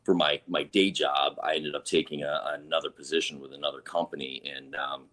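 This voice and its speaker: A man from northern Vermont, guest author on a podcast, relaxed with many fillers, recovering from a lost voice. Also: extremely low pitch, fairly quick. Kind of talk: casual interview.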